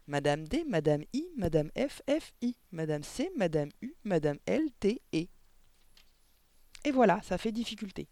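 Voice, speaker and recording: Un homme qui lit un livre pour enfants en incarnant un petit écolier courageux, poli et empressé, à la voix aiguë. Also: male voice, lively intonation, fairly quick, a little mellow, no echo. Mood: sing-song, proud. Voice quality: high-pitched. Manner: eager, insistent. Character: plucky little schoolboy, brave, eager, polite. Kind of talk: storybook reading.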